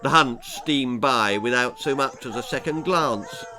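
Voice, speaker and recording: A man's voice: medium pitch, a little slow, bright, forceful tone, a little hiss or hum. An English man reading his own comic children's story aloud as a dramatic narrator who relishes each detail.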